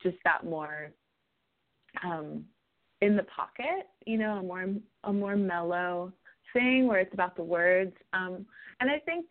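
A woman's voice: on the low side, a little slow. A woman singer-songwriter from New York City, giving thoughtful, rambling answers in a radio interview. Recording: tinny phone-like line.

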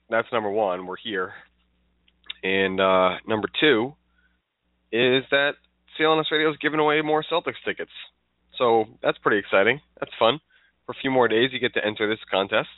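Congested male podcast co-host, lowish voice, tinny dry phone-like audio. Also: dry recording. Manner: rambling, loose with restarts. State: stuffed up, congested. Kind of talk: casual sports podcast chat.

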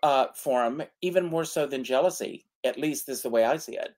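Halting man in his sixties. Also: mid-range voice, a little mellow. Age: 60s. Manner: halting, lots of "uh" fillers. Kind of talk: casual podcast chat.

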